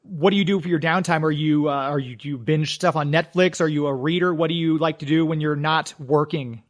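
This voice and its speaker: A man's voice: a little high, fairly even intonation, fairly quick. A man hosting a radio show, talking with guests in a conversational interview style.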